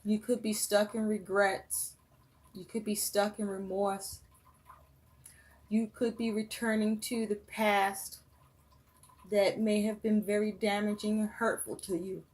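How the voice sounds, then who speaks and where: A woman giving a tarot reading for her viewers, listing phrase after phrase in a slow, measured way. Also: medium pitch, level tone, darkish tone. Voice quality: voice rough and breaking, coughing. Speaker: tarot reader.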